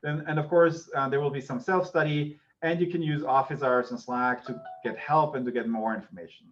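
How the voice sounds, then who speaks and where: A man's voice: medium pitch, fairly even intonation, brisk, a bit bright, lo-fi sound, soft background music. A middle-aged man from Austria, a native German speaker with an Austrian accent, giving an informal online university lecture. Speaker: university lecturer, associate professor.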